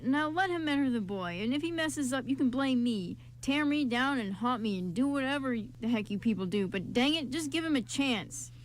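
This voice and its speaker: A woman playing a loyal, blunt young man with a forceful, insistent manner in an amateur radio play. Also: sits high, lively intonation, normal speed, hardly any pauses, slight background noise, low-quality recording. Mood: heartfelt, insistent. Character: loyal, blunt young man defending his best friend.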